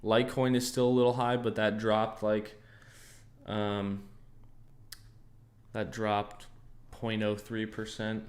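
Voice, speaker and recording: A young man with an American accent and a lowish voice hosts a relaxed livestream. He chats with viewers in an easygoing way, thinks out loud, and leans on fillers and restarts as he goes. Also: slow, pauses often. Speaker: trading livestream host. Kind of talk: casual livestream chat answering viewers.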